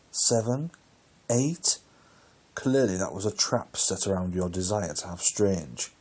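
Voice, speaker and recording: An actor playing a scheming church official in an audio drama, blunt, officious and reproachful, with a husky, dark voice. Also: male voice, fairly low pitch.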